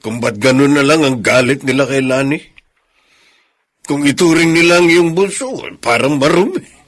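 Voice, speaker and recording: A man with a Filipino accent and a husky, gravelly voice playing a fair-minded, concerned family confidant in a radio drama. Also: mid-range voice, lively intonation, normal speed, fairly bright tone.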